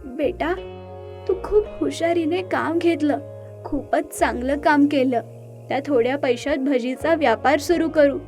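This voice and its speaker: A gentle mother, voiced by a woman narrator in an animated moral tale. She speaks encouragingly in a high voice, over soft music. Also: unhurried. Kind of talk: animated moral story character acting.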